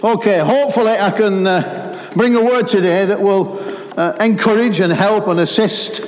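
Middle-aged Lancashire man preaching with animated energy, fairly high voice, echoing church hall. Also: moderate pace. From Lancashire, England. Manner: animated, calls to the congregation. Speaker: guest preacher. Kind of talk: sermon. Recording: roomy hall with long echo.

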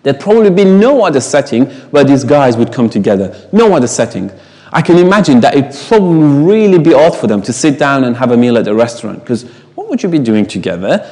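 A man's voice: mid-range voice, sing-song, fairly quick, mellow, in a large hall. A man whose first language is Farsi, preaching a sermon in an emphatic way, asking the congregation rhetorical questions.

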